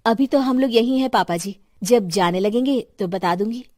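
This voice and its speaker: A woman plays a dutiful cartoon daughter-in-law. She speaks in a slightly high voice with a calm, respectful manner, recorded dry. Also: moderate pace, dry recording, lightly acted. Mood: composed.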